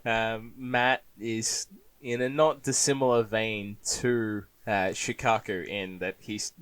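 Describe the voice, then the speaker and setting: A man's voice: lowish voice, fairly even intonation, slow pace, fairly bright tone, clear, resonant tone, no room sound. A man speaking casually as a voice actor being interviewed, laid-back and dryly humorous.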